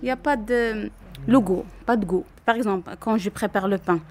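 A woman talking in broken, animated French, recorded during a museum visit. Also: medium pitch, moderate pace, slight background noise.

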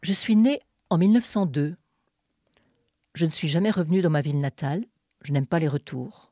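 A woman hosting a radio show, reading a poem aloud in a measured, deliberate way with pauses. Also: low-pitched, warm tone, dry recording, clean studio sound. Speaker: radio host. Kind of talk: scripted narration and poetry reading.

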